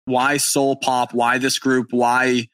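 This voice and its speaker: A young man from New England, hosting a casual podcast interview, excitable and swearing freely. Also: medium pitch, slow pace, no echo. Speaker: podcast host. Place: barn studio in rural Maine.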